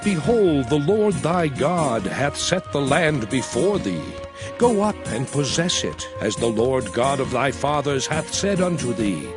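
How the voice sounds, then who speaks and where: A man's voice: medium pitch, sing-song, unhurried, over music. An actor playing a commanding prophet who addresses his people in solemn, declamatory dramatized scripture. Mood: earnest, solemn. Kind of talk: dramatized scripture oration, King James English.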